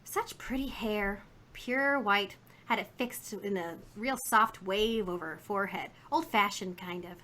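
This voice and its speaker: Bright-voiced, garrulous folksy shopkeeper. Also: female voice, mid-range voice, a little slow. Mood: reminiscing. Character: chatty, indignant, folksy female shopkeeper. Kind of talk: 1950s radio drama acting.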